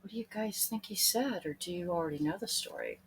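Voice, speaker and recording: A woman's voice: fairly low pitch, expressive, a little fast, noisy background. A woman reading a children's story aloud expressively, speaking to her young listeners in asides.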